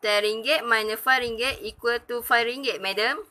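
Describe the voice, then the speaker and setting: A young woman speaks as a student giving a class presentation. Her voice is moderately high, and the amateur recording carries a little background noise. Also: steady tone, normal speed.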